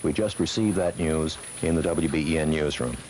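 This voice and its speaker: A man's voice: a bass voice, normal speed, a little mellow. An American man delivering a live news bulletin on 1970s radio. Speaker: radio newsman. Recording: noisy 1970s radio aircheck cassette.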